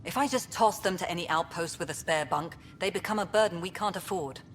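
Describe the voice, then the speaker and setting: A woman's voice: on the low side, brisk, a little hiss or hum, lightly acted. A woman playing a practical, unsentimental colony administrator in a video game. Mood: matter-of-fact. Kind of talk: video game cutscene acting. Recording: faint background ambience.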